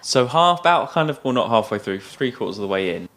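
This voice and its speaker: A man's voice: mid-range voice, animated, quick pace, a bit bright. A man co-hosting a podcast, casually retelling a film's plot in a hesitant, loosely conversational way. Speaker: podcast co-host.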